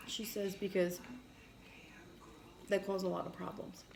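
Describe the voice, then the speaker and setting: A woman's voice: mid-range voice, little pitch movement, measured pace, vocal fry, a little mellow. A woman chatting casually over food, retelling conversations in quoted back-and-forth and swearing now and then. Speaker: mukbang co-host. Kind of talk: casual chat while eating. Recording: noisy room sound.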